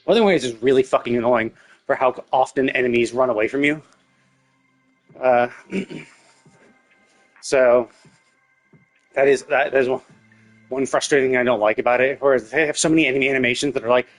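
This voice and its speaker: A man's voice: medium pitch, normal speed, pauses often, faint music behind. A man doing casual live-stream commentary on a game, rambling analytically as he thinks aloud.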